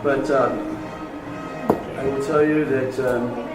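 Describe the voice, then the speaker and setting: A man's voice: mid-range voice, fairly even intonation, slow pace, low-quality recording. A man who owns the winery, chatting at a dinner table about its history, easygoing and self-deprecating. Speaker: winery owner. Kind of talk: casual dinner talk, telling the winery's story. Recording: music playing behind the voice.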